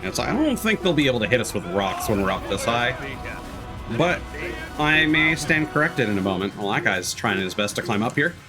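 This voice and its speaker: A man's voice: mid-range voice, very animated, normal speed. A man giving casual, easygoing gameplay commentary as a streamer, musing aloud in an amused way. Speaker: game streamer. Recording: game music behind the voice. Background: video game music.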